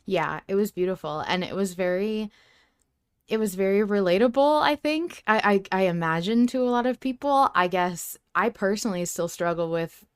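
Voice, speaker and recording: A woman co-hosting a podcast, talking casually in an earnest, expressive and reflective way about a story. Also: medium pitch, normal speed, clear, resonant tone, dry recording, studio quality. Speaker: podcast co-host. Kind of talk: casual fan discussion of a story.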